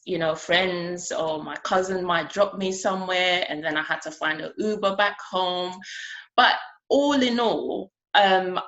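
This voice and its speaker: A woman from Ghana gives a conference talk about her art. Her voice sits a little low, and she speaks in an earnest, conversational way. She pauses often with a soft "um" between thoughts. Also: low-quality recording. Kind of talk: conference speech.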